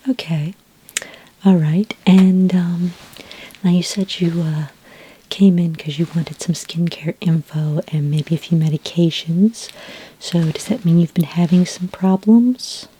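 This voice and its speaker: A woman playing a patient, reassuring sci-fi skincare consultant in a soft-spoken, half-whispered ASMR roleplay, gentle and a little hesitant. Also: fairly low pitch, steady tone, slight background noise, natural voice, poor audio. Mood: attentive, polite. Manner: gentle, hesitant, unhurried, polite questioning.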